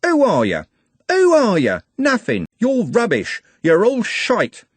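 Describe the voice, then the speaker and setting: A shrill, furious teenage boy, put on by a man reading aloud, his voice shooting high as he screams. The tone swings wildly and stays husky at the edges. It is a dry, close recording. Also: wildly swooping pitch, fast talker, no echo, heavily acted. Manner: screaming, high-pitched outbursts.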